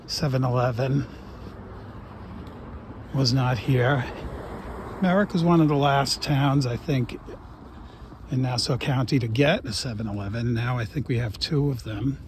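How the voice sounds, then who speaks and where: Brief: middle-aged Long Island man, unhurried rambling walk-and-talk, phone mic outdoors, traffic clearly audible. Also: mid-range voice. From Long Island, New York. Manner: slow, rambling reminiscing with fillers. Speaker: walking tour vlogger. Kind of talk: casual walking narration. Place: outdoors on a suburban street. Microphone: phone camera held while walking.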